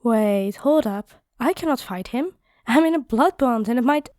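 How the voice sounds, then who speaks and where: A lightly high female voice acted by a woman for a fantasy fighter lady. She is playful and teasing, with casual, chatty delivery. The sound is dry and free of room echo. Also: expressive, moderate pace, a little mellow, close to an everyday voice. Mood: hesitant. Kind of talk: scripted fantasy audio roleplay.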